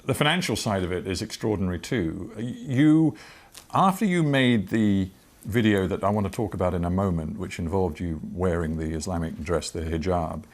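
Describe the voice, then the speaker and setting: An older man with a British accent conducting a broadcast interview, asking measured, deliberate and probing questions. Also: deep voice. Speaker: television interviewer.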